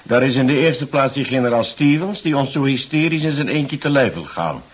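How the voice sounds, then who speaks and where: An actor playing a cold, scheming alien-controlled leader in a 1970s radio drama, measured and coolly authoritative. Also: male voice, medium pitch, lively intonation, husky. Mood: scornful. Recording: dull, band-limited 1970s radio recording.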